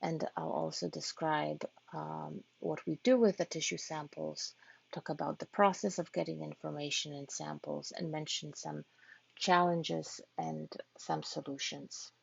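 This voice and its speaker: Low gravelly woman from Poland, measured. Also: steady tone, no room sound. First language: Polish. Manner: measured, earnest, occasional hesitations. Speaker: physician-scientist giving a research talk. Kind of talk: prepared presentation to patient families. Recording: dull lo-fi online talk audio.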